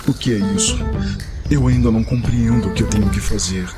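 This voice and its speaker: An actor playing a young paladin turned horned tiefling, distraught, pleading and halting, in an audio drama. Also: male voice, on the low side, close to an everyday voice. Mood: anguished, pleading. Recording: loud music behind the voice.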